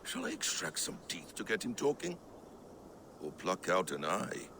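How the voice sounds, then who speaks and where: A man's voice: deep voice, huge pitch swings, a little slow, background noise, heavily acted. A man playing a sly, mocking Norse trickster god in a video game, with a drawling, theatrical, taunting delivery. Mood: sly menace. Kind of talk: video game cutscene acting.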